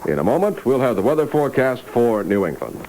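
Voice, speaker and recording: Lively 1960s radio newsman. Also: mid-range voice, fast, faint music behind, low-quality recording. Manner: polished, formal announcer delivery. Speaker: radio news announcer. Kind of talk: 1960s radio newscast and sponsor copy, scripted. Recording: 1960s AM radio aircheck, hum and hiss.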